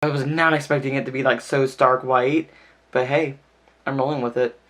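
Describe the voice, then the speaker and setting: Brief: male beauty vlogger, mid-pitched, chatty and casual, slightly stuffy nose. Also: little pitch movement, moderate pace, loud music behind the voice. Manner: chatty, fills speech with "like". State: stuffy, nasal from sneezing. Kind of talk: casual makeup tutorial talk.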